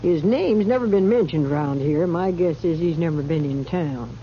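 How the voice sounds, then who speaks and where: A shrewd saloon woman in a vintage radio western, with a low female voice. She is dry-witted and confiding, matter-of-fact, on a muffled recording with faint noise. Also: moderate pace. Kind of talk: 1950s radio western acting.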